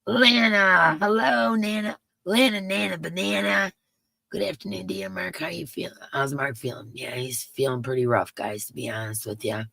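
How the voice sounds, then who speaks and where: A woman with a raspy voice hosting a casual livestream in her yarn room, greeting chat viewers by name in a sing-song way and sounding emotional. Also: lowish voice, normal speed, sharp tone, no room sound. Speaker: livestream host.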